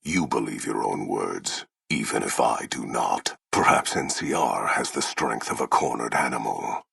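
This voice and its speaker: An actor playing a grim, proud warlord commander in a video game, with a gravelly, husky, rumbling voice and a solemn, commanding, formal delivery. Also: male voice, lively intonation, unhurried, fairly bright tone, no echo, clean studio sound, heavily acted. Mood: grave, calculating. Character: towering, menacing male warlord commander, proud, grim, honor-bound. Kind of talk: theatrical video game villain acting.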